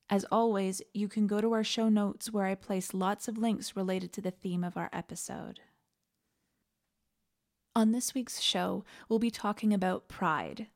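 A woman's voice: medium pitch, gravelly, a little mellow. A woman hosting a podcast, reading a scripted introduction. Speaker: podcast host.

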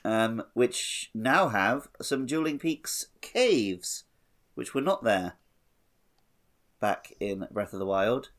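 A man's voice: mid-range voice, expressive, slow. A man co-hosting a podcast, talking in an easygoing, conversational way about a game walkthrough. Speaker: podcast co-host.

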